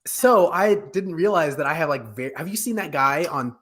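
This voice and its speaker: A man in his forties co-hosting a casual panel chat, joking and animated, often interrupting himself mid-sentence. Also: fairly high pitch. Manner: jokey, animated, halting with self-interruptions. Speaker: co-host of a pop culture live show. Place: home room, indoors, video call.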